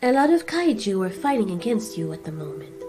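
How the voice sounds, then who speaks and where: A woman voicing a gentle female monster in a storytelling, soft-spoken, hesitant and earnest. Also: medium pitch, very animated, a little fast, over music, natural voice.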